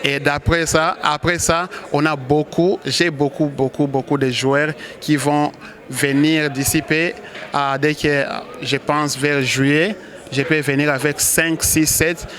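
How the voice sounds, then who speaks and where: A man from Congo speaks as a football manager in an interview. He talks slowly in a flat, even voice, stopping often to restart his sentences. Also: fairly high pitch. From Congo (DRC). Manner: halting, many restarts and hesitations. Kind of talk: interview answers.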